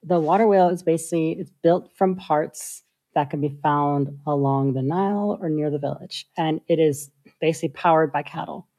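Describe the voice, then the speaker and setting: A woman, a software engineer, talking through her ideas in a casual interview with lots of filler likes. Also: sits low, normal speed. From United States. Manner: thoughtful, many filler likes.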